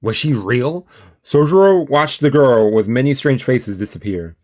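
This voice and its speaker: A man's voice: mid-range voice, lively intonation, normal speed, darkish tone. Informal man reading a visual novel aloud. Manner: informal, slangy, reacts in asides. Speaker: let's play streamer.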